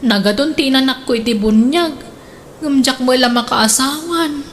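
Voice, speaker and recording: A high female voice acting as a touchy single woman in a radio comedy, sulking and sighing between phrases. There is faint noise in the recording. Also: normal speed, natural voice. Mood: sulky.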